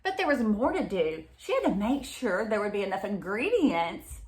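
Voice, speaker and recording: A woman reads a picture book aloud to young children in an expressive way, pausing to explain and ask them simple questions. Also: mid-range voice, roomy sound. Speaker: read-aloud storyteller for children.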